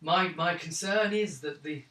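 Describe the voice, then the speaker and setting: A man's voice: on the high side, a little slow, bright, forceful tone. A man playing a kindly, fretful village priestess in a tabletop game, speaking in a fussing, worried way. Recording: echoey room, mic far off.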